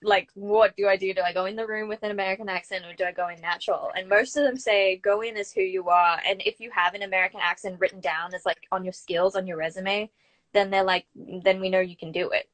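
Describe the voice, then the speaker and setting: A woman's voice: medium pitch, steady tone, fast talker, a bit bright. Young Australian woman chatting casually. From Brisbane, Australia. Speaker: guest, actor and acting student. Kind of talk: casual interview chat.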